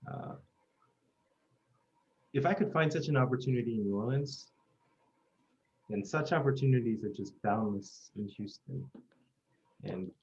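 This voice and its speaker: A man's voice: on the low side, warm tone. A man in his thirties from South Korea, telling his story earnestly and steadily in an oral history interview. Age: 30s. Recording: muffled lo-fi recording.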